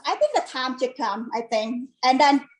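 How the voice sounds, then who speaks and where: A woman's voice: sits high, lively intonation, moderate pace, rough recording. A middle-aged woman from Vietnam with a Vietnamese accent, chatting informally as an interview guest in non-native English. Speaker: guest, home business owner. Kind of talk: casual interview chat.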